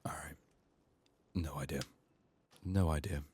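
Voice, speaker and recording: Very deep soft-spoken man, strained voice. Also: measured pace, very noisy. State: sick, strained sore voice. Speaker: video game streamer. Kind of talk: soft-spoken ASMR game commentary.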